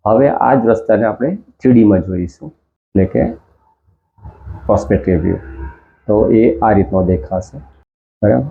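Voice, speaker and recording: Low-voiced man narrating a demo. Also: gravelly. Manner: slow, explanatory, walking through a demo. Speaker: host. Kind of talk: narrated screen demonstration. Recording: tinny phone-like sound.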